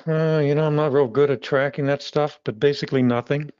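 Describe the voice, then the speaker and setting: A man's voice: a little high, steady tone, darkish tone, clean tone, dry recording, dull audio. A man, a magazine publisher, talking casually in an interview, often restarting his sentences. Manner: halting, with frequent restarts.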